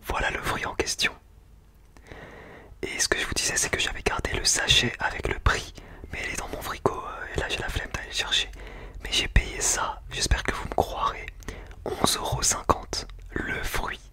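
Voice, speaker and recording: A man speaking in a breathy, unvoiced whisper, chatting casually and in slang in an ASMR tasting style. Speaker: ASMR food-tasting video creator.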